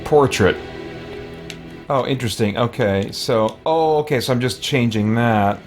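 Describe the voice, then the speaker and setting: A man with a mid-pitched voice hosts a casual let's play. He muses aloud in an unscripted way while game music plays clearly behind him. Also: a little slow. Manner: thinking aloud, musing. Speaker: gaming host, let's player. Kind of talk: casual off-the-cuff gameplay commentary.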